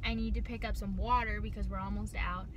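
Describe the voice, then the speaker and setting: A woman's voice: medium pitch, fairly even intonation, brisk. A young woman in her twenties chatting casually as a book vlogger, rambling with many ums and likes and sounding a little nasal from a cold. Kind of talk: casual vlog chat. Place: car. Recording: clear car and road noise behind.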